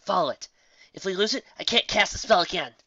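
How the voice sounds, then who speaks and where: Guy doing an earnest careful unicorn girl leader, pitched up, explaining stuff, storybook reading. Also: expressive, fairly quick, pushed and bright, slightly rough, no room sound, muffled recording, exaggerated voice. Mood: hurried. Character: earnest, cautious female unicorn leader pony.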